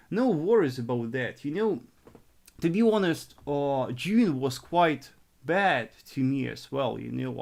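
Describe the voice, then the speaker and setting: A young adult man from northern Russia with a Russian accent, answering live chat questions on a trading stream in a halting, earnest way with many restarts. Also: medium pitch, gravelly. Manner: halting and repetitive, conservative and earnest.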